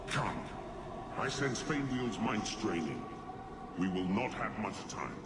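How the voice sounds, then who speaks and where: An actor playing a stern, commanding spirit of justice in a video game, formal and declamatory. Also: male voice, lowish voice, very animated, slow. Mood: solemn, urgent. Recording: echoey, distant, heavy noise behind.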